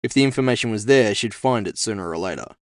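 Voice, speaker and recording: A man's voice: fairly low pitch, animated, fast, no room sound, studio quality. A man giving a scripted first-person narration of an audio fiction story as its author. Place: dry, close recording space.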